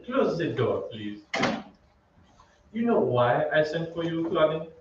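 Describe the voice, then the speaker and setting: A formal opera maestro played by a man in a radio play, courteous but firm. The voice is fairly high and swoops expressively, set far off in a very echoey space. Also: very animated. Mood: formal, stern. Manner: measured, courteous, authoritative. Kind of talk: old-time radio drama acting. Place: theatre stage, live reading. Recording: very echoey stage, distant, muffled, hiss.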